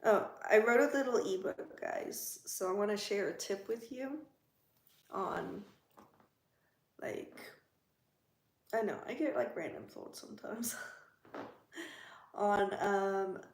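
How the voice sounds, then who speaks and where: A woman's voice: mid-range voice, slow, long pauses, roomy sound. A woman hosting a casual livestream, chatting and teasing as she answers viewers' comments from home. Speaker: livestream host.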